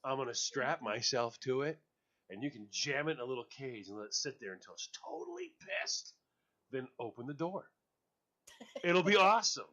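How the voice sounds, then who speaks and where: A man hosting a radio show, swapping jokes and stories with a co-host and laughing easily. His voice is bright and forward, with a lively, swooping pitch. The audio is dull and lo-fi, like a web radio stream. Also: moderate pace. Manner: joking, laughs often, storytelling. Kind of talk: casual on-air banter.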